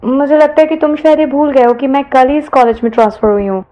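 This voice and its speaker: A woman voicing a young female college student in an audio story, confused and protesting in a high, lifted voice. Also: fairly even intonation, fast talker, mellow, lightly acted. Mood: hurt, protesting.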